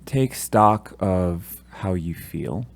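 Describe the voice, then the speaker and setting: A man leading a guided meditation, giving gentle, calm instructions with long pauses between phrases. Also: low-pitched, creaky.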